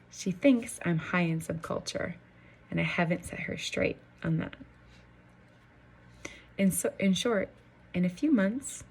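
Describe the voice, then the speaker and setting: A woman reads a novel aloud in the first person, her voice low, dark and husky with a gravelly edge. Her delivery is measured, dry and deliberate. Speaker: audiobook narrator.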